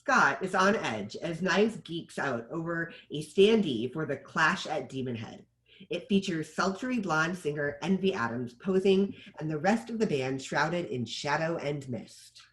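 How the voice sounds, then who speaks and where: A man's voice: a little high, animated, unhurried, fairly bright tone. A man narrating scripted stage directions as the narrator of a table read.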